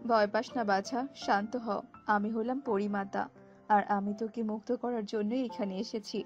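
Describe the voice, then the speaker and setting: A woman's voice: medium pitch, steady tone, normal speed, lo-fi sound, soft background music, lightly acted. A woman voicing a protective elder fairy mother in a cartoon, righteous and resolute, with a motherly manner. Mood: soothing, resolute. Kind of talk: cartoon voice acting.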